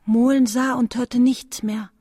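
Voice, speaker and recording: Radio drama gentlewoman: young, sorrowful, fairly high voice, husky, very dry close sound. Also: steady tone, unhurried, dry recording. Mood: wistful.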